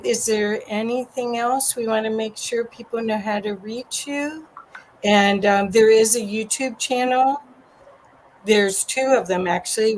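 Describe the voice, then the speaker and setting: Woman, slow speech, gentle moderator, many fillers, faint background noise. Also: mid-range voice, rough recording. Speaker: conference moderator. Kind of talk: casual online chat.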